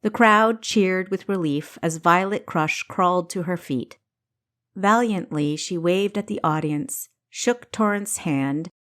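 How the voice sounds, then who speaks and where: A woman reading scripted story narration for an audiobook, with a dramatic, deliberate delivery and careful enunciation. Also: on the low side.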